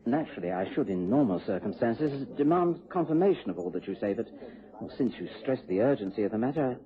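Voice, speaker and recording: Hesitant, formal official, tinny sound. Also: male voice, mid-range voice, very animated, quick pace. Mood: hesitant, amazed. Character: hesitant, obliging male clinic superintendent. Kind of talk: mid-century British radio drama acting. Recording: thin, phone-like old radio recording.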